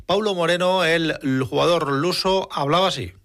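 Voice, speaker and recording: A man's voice: on the high side, normal speed, background noise. A man with a Spanish accent from Spain presenting sports news, linking interview clips with somewhat hesitant phrasing.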